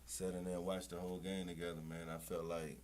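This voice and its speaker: A man with a very deep voice talks about his music in loose, swaggering street slang. He is profane and boastful, and he keeps checking in with his listener. A faint hum of room noise sits underneath him. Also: flat delivery, quick pace, a little mellow. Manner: boastful, profane, repeats "you know what I'm saying". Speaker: rapper and interview guest. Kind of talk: casual interview chat.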